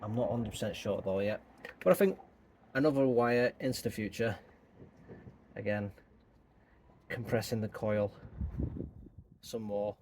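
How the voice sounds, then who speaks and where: A man with a croaky voice talking casually through his bonsai work as host of his channel, thinking aloud as he goes, outdoors. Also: lowish voice, unhurried, long pauses, a little mellow, slight background noise. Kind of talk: casual hands-on tutorial talk.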